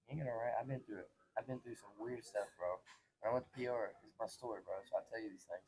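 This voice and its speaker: A man's voice: mid-range voice, quick pace, darkish tone. A man in his twenties telling personal stories in casual, slangy talk, earnest and repeating phrases for emphasis. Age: 20s. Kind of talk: casual conversational storytelling.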